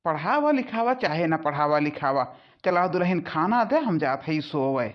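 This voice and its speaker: A woman's voice: low-pitched, big pitch swings, normal speed, highs cut off. A woman voicing a stubborn old father-in-law in a village cartoon, grumbling and dismissive, with an Awadhi accent. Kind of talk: animated comedy dialogue.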